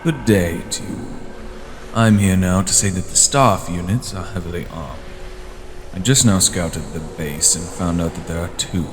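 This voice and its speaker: A man voicing a composed, high-ranking vampire leader, speaking formally and with authority in a fantasy role-play drama. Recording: long hall reverb, clear background noise.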